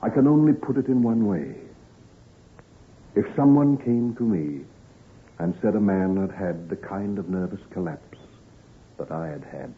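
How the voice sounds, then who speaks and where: A tormented young doctor, worn down before his time and full of self-reproach. His low voice moves gravely and haltingly through old-time radio melodrama. The sound is narrow and muffled, like an old broadcast. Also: animated. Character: anguished, prematurely aged doctor, not yet thirty, secretive, self-reproaching.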